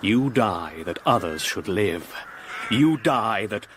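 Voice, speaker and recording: An actor playing a grandiose, fanatical murderer in a radio play, speaking in solemn, incantatory phrases. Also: male voice, fairly low pitch, animated, takes it slowly, noisy background. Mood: ritual, hypnotic. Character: grandiose, fanatical murderer luring his child victim.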